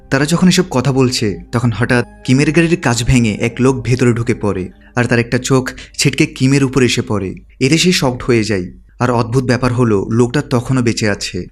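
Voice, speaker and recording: A man narrating a film's story from a script, as a recap narrator. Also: mid-range voice. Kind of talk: scripted narration of a film plot.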